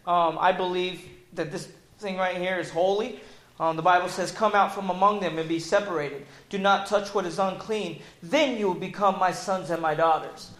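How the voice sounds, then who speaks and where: A man in his forties gives an earnest talk to a church group, loud and halting, with plenty of ums. His voice sits notably high for a man. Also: steady tone, poor audio. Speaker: ministry public relations speaker. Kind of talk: testimony sermon.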